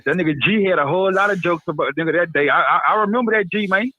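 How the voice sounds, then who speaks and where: Excitable male caller on a tinny phone line. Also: sits high, rapid-fire, no room sound. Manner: excitable, repeats words and stammers. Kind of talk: casual chat.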